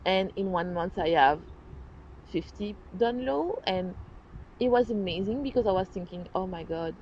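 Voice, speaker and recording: A woman's voice: medium pitch, animated, moderate pace, darkish tone. A woman with a French accent hosting her own podcast, talking earnestly and animatedly in a casual solo style, often restarting and correcting herself. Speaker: podcast host. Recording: dull lo-fi sound, faint noise.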